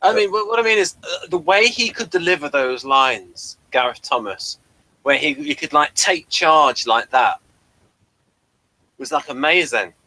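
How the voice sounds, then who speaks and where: Man, fairly high voice, bright tone, stammering repeats, casual co-host chat. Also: expressive, unhurried, poor audio. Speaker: podcast co-host reviewing a TV series.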